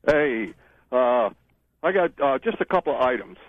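A man in his eighties with a Western New York accent, calling in to a radio show and talking in a halting way. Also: mid-range voice, very animated, dry recording. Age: 80s. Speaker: caller. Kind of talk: casual call-in chat. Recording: muffled phone line.

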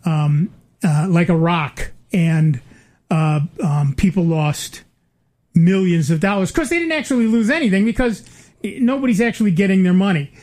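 A man's voice: high voice, moderate pace, a little mellow. An older man hosting a casual chat, rambling with many fillers and restarts and dry asides.

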